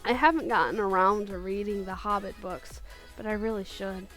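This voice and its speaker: A woman's voice: medium pitch, normal speed, a bit bright, soft background music, rough recording. A woman casually chatting through her favorite picks, gushing and giggly, often restarting her sentences. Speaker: video creator. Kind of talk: casual countdown chat.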